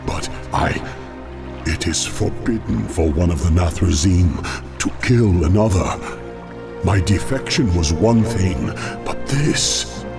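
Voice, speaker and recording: A proud, menacing demon from a fantasy game. The voice is cavernously low and very gravelly. It moves at a slow, weighty pace with formal diction. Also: male voice, lively intonation, loud music behind the voice, exaggerated voice. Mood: alarmed, defiant. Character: haughty demon dreadlord, cunning reluctant servant.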